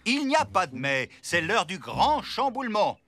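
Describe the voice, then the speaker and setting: A man's voice: sits high, very animated, moderate pace, pushed and bright, exaggerated voice. An actor voicing a bossy, exasperated elephant father in a cartoon. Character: grumpy tidy elephant father. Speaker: father character. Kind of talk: French cartoon dubbing. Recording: with music underneath.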